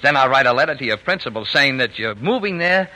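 An actor playing a gentle, indulgent working-class father in a 1940s radio drama, folksy, hesitant and tender. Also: medium pitch, lively intonation, fast talker. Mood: reassuring. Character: gentle, indulgent working-class papa, quietly scheming dreamer. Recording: noisy vintage 1940s radio recording.

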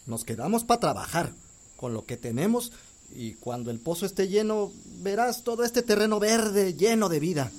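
An actor playing a young farmer and husband who speaks calmly and reassuringly. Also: male voice, high voice, moderate pace, slight background noise. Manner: calm, reassuring. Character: young male farmer Gabriel, hopeful husband. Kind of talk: radio drama acting.

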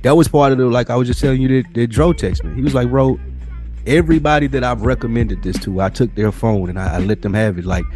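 A man's voice: medium pitch, fast, darkish tone, soft background music. A man co-hosting a podcast in casual, opinionated chat, speaking emphatically with slang and free swearing. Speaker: podcast co-host.

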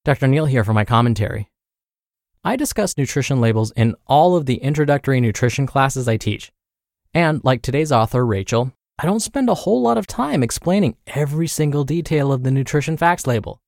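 An American man hosting a podcast, reading and explaining in a clear, instructive, emphatic way. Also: mid-range voice, animated, brisk, darkish tone, no echo, clean studio sound. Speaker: podcast host and nutrition teacher. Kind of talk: scripted narration and spoken commentary.

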